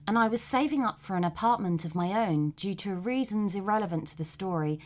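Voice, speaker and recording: A woman reading a first-person story aloud as scripted podcast narration. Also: mid-range voice. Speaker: podcast host reading listener stories.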